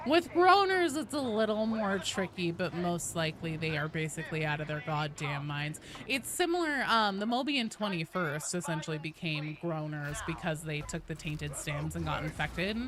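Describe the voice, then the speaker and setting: A woman's voice: sits low, moderate pace, hardly any pauses. A woman streaming a game, casually explaining lore in a rambling, informal way with some swearing.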